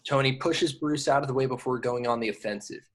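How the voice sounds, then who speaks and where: Medium-pitched man reading action narration. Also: fast. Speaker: narrator reading stage directions. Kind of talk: scripted narration in amateur table read.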